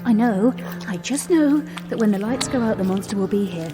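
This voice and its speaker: A woman's voice: mid-range voice, animated, brisk, natural voice. An actress playing a frightened but resolute young Englishwoman in a 1920s period radio drama, speaking urgently in an English accent. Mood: desperate. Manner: urgent, anxious. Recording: long reverberant space, music underneath. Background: music.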